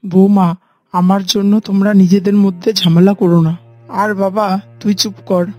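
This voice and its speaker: A woman's voice: medium pitch, little pitch movement, normal speed, no room sound, dull audio, lightly acted. A woman voicing an elderly village mother-in-law in a cartoon, plain-spoken and self-effacing. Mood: placating, gentle. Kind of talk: cartoon character dialogue. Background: faint music.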